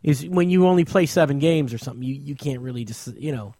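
A man's voice: medium pitch, quick pace, warm tone, no echo. A man hosting a podcast, talking sports casually in blunt, profane language. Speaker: podcast host.

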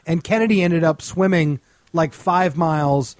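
A man's voice: fairly high pitch, a little slow, no room sound. An American man giving casual comic commentary in a blunt, mocking manner. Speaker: co-host guest on a comedy radio show.

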